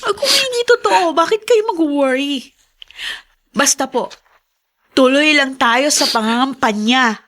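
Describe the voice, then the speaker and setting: Radio drama daughter: high-pitched, earnest, encouraging, loyal and soothing. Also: female voice, lively intonation, a little slow, pauses often, a bit bright. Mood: reassuring. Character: reassuring, loyal daughter of a political family. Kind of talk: Tagalog radio drama acting.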